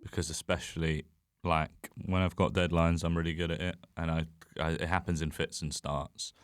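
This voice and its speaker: A man's voice: deep voice, little pitch movement, normal speed, clean tone, no room sound, studio quality. A man chatting casually as a comedian guest on a podcast, rambling, self-deprecating and sweary. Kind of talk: casual chat.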